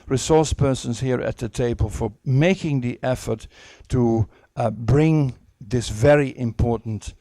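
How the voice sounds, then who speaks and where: Diplomat, male, gravelly mellow voice, hesitant with fillers, formal address. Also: mid-range voice, no room sound. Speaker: ambassador.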